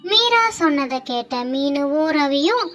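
A woman's voice: sits high, animated, a little slow. A woman storyteller narrating a scripted moral tale for children and hosting the channel. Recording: music under the voice.

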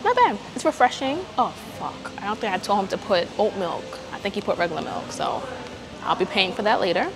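A woman's voice: medium pitch, very animated, vocal fry, over music. A young woman chatting frankly to a handheld camera in a casual vlog, moving between home, car and cafe. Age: young adult. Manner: chatty, frank, quick asides. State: congested. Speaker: vlogger. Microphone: handheld camera at arm's length.

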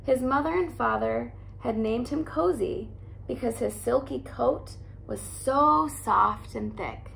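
A woman in her thirties, a teacher, reading a picture book aloud in a soft, cozy way in a living room by a fireplace. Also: on the high side, slow, creaky, a little hiss or hum, poor audio.

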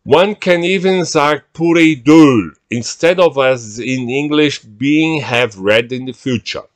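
A man reads his own writing in an unhurried, deliberate way. His delivery is drily humorous and measured, at a middling pitch. He is recorded on a webcam at a desk in a room at home. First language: Portuguese. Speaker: author reading his own text. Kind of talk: reading aloud, switching between languages.

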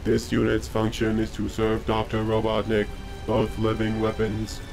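A man acts out a cold combat robot in a comic dub. The voice is low and rigidly monotone, formal and menacing, with music playing behind it. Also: moderate pace, gravelly. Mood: flat, clinical. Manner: monotone, formal machine-like delivery. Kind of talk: scripted comic dub acting.

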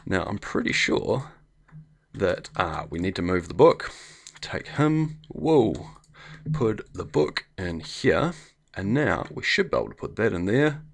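Low, gravelly New Zealand man, slightly croaky, hosting a relaxed let's-play at his desk mic. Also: measured pace. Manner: chatty, thinking aloud while clicking through menus. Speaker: gameplay video host. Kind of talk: casual let's play commentary.

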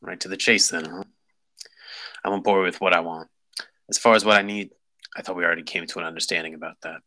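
A man acts out a suave police lieutenant in a drama read. The voice is low and very sharp-edged. He speaks deliberately, with smug menace and insinuation. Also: steady tone. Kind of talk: script table read.

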